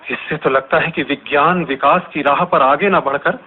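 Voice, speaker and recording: A man's voice: on the high side, steady tone, normal speed, slightly rough. An actor playing a worried ordinary Indian man musing aloud to himself in a theatrical radio drama soliloquy. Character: worried ordinary man at home hearing the news. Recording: noisy, tinny phone-like radio broadcast recording.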